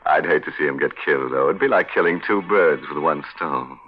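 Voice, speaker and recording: A man's voice: extremely low pitch, a little slow, gravelly. A man hosting a 1940s radio mystery with wry, macabre joking patter. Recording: dull vintage 1940s radio recording.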